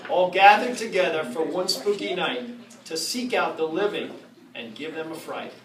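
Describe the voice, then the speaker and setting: A man reads a rhyming story aloud with playful asides. His voice is on the high side for a man. He is heard from some distance in a reverberant room with steady background noise. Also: normal speed. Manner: playful, jokes between rhymes. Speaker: reader at a storytime. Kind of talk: reading a rhyming picture book aloud. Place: room with a live audience. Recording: echoey room from far off, noisy.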